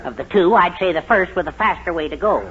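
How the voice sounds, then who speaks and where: A man's voice: sits high, fast talker, lightly acted. A man acting a long-suffering, henpecked middle-aged father in a 1940s radio sitcom, with a dry, drawling comic delivery. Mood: wry. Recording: dull, noisy 1940s radio with audience.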